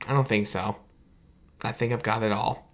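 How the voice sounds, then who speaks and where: Young man, tired, voice going hoarse, rambling, repetitive, fan vlog sign-off. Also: lowish voice, fairly even intonation, normal speed, a bit bright. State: tired, losing his voice. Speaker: sports fan video host. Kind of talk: late-night post-game reaction monologue. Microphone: camera mic with his own light.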